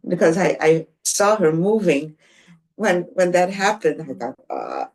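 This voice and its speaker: A woman's voice: on the low side, very animated, slow, a little mellow. Hesitant older woman, self-deprecating. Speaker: workshop participant. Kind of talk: casual group chat.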